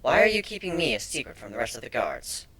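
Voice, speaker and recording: A man's voice: medium pitch, wildly swooping pitch, fast talker, fairly bright tone, husky, heavily acted. A man voicing a sarcastic, defiant female changeling held captive in a dramatic story reading, with a biting, exasperated delivery.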